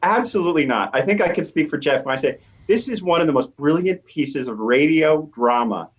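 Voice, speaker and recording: A man's voice: on the high side, animated, fairly quick, lo-fi sound. A man speaking as an academic interview guest, making emphatic, declarative points in a conversational way.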